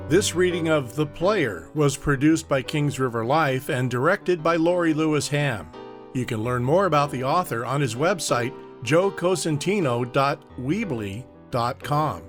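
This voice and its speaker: A man reading a scripted podcast outro announcement, with guitar music behind him. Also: on the high side.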